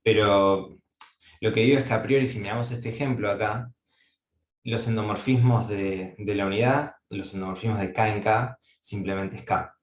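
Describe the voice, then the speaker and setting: A man's voice: lowish voice, steady tone, normal speed, reverberant. A man giving an academic mathematics lecture, thinking aloud with frequent fillers and restarts.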